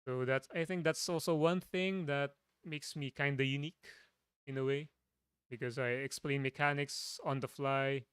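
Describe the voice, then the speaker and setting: Low-voiced man chatting casually. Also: fairly even intonation, normal speed, a bit bright, clean tone, no echo, studio quality. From Philippines. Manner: rambling, with frequent restarts. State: voice tiring. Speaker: streamer. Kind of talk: casual stream chat.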